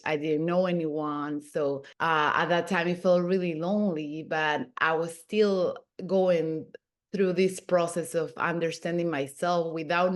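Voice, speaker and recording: A woman in her thirties from Peru, a podcast guest telling her own story in an earnest way, often restarting her sentences. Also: low-pitched. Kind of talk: casual interview.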